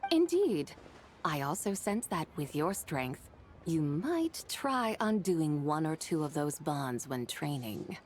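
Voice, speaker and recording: A woman playing a serene, mystical adept in a video game, speaking in formal, old-fashioned, measured phrases. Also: low voice, big pitch swings, darkish tone, a little hiss or hum, full character voice. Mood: solemn, knowing. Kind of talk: video game character acting. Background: game music.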